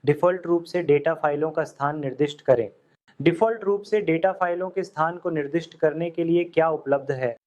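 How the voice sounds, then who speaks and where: Man with a fairly high voice giving a steady software tutorial. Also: a little fast. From India. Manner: steady step-by-step instruction. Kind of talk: screen-recorded software tutorial. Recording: dull lo-fi audio.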